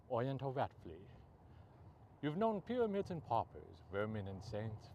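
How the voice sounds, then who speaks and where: A man reading poetry aloud as a poet, in measured, deliberate short phrases. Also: lowish voice, darkish tone, a little hiss or hum. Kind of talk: poetry reading, recited free verse.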